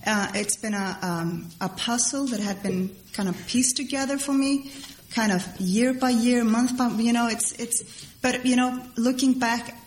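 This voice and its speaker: A middle-aged woman from Iceland, giving personal testimony to a crowd in a halting, rambling way and repeating phrases for emphasis. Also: on the high side. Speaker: speaker at a recovery meeting. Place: large meeting hall before a crowd. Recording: echoey hall with background noise.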